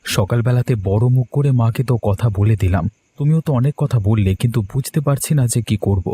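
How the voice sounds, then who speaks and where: A man's voice: medium pitch, fast talker, dark tone, slightly rough, natural voice. A man voicing an earnest, troubled young husband in a cartoon story. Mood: despairing. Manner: downcast, earnest. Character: dejected young husband who has lost his job. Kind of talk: Bengali cartoon story dialogue.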